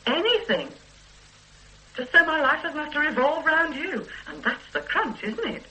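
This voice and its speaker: Brief: resentful, determined wife, high lively bright voice, radio play. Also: female voice, normal speed, full character voice, low-quality recording. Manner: firm and resentful. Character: fed-up, determined young wife. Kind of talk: radio drama acting.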